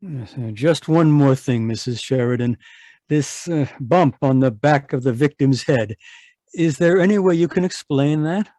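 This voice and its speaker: Actor as a shrewd, polite police inspector, dry sound. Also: male voice, on the high side, unhurried, mellow, no echo, close to an everyday voice. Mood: probing, polite. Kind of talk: 1940s radio mystery acting.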